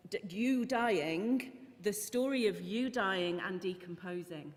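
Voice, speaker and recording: An earnest woman organiser speaks at an open mic session, pausing on ums and restarting her sentences. Her voice sits at an ordinary pitch for a woman. A long reverb from the large hall trails behind her. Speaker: festival organiser. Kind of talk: open mic conference talk. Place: church hall conference.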